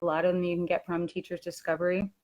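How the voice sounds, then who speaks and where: A woman's voice: fairly low pitch, monotone, fast talker, a crowd in the background. A woman talking casually as she presents a webinar for language teachers.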